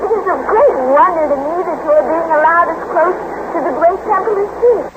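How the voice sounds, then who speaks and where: A woman's voice: very high voice, a little fast. An actress playing a mystical teenage girl who talks of past lives, in formal, serene 1940s radio acting, heard on an old recording. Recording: tinny old radio recording with heavy hiss.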